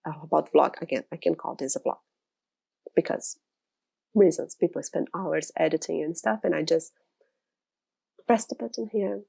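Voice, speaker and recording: Gravelly-voiced Brazilian woman in her thirties. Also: sits low, moderate pace, pauses often, warm tone. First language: Portuguese. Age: 30s. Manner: rambling, chatty, frequent restarts. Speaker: illustrator vlogger. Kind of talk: casual chat to camera. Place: her studio.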